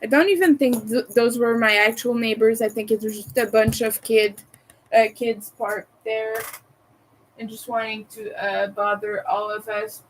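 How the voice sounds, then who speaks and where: Brief: slow, soft-spoken French Canadian woman. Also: on the high side, slight background noise, rough recording. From Montreal, Quebec, Canada. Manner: soft, hesitant, many fillers, chuckling asides. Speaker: crochet livestreamer. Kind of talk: casual livestream chat, reading and answering chat. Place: her own room at home.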